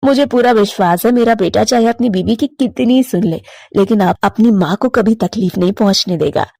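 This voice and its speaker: A woman's voice: medium pitch, moderate pace, vocal fry, mellow, dry recording, clean studio sound, pitched below the performer's own voice. A woman voicing a worried, long-suffering middle-aged mother crow in a cartoon story, fretful and motherly. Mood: patient, firm. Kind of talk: animated cartoon moral story.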